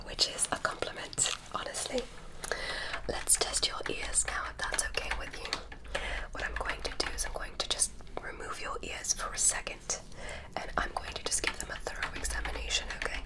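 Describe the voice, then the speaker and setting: A woman's voice: close to an everyday voice, rough recording. Woman whispering as a gushing alien doctor. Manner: soft, gushing praise, slow and soothing. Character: curious alien woman doctor examining a human. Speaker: ASMR creator. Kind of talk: whispered ASMR roleplay.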